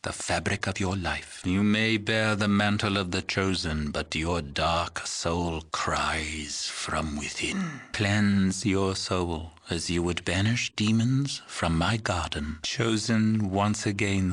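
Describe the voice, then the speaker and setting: A man's voice: sits low, fairly bright tone, full character voice. An actor playing a frail old village shaman in a video game, mystical and solemn, speaking in ceremonious, cryptic riddles. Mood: reproving. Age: older. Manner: slow, ceremonious, cryptic, archaic phrasing. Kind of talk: scripted video game voice acting.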